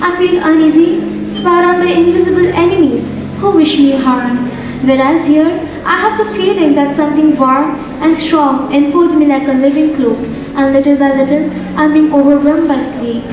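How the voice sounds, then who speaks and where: A young woman acting in a stage play as a weary young woman artist, gentle and trusting, with a soft, dreamy delivery. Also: high-pitched, moderate pace, noisy background, lightly acted. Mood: weary, grateful. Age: young adult. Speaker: student actor in a stage play. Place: large theatre stage. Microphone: far camera in the hall. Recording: echoey hall from far off, music behind.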